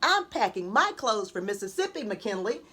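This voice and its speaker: A woman's voice: mid-range voice, very animated, normal speed, bright, forceful tone, slightly rough, pitched below the performer's own voice, heavily acted. A woman voicing a curious, wide-eyed little first-grade girl from a children's storybook, questioning and exclaiming. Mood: curious, amazed.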